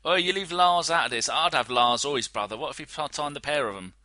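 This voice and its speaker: A man trades casual football banter as a podcast panellist, joking and teasing as he goes. His voice is very bright and forceful, recorded dry with no room around it. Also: a little high, fast, no echo.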